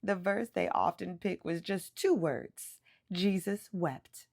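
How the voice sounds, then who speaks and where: A woman reading a children's picture book aloud in an animated, emphatic storytelling way, drawing out words for effect. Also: lowish voice, a little slow, creaky.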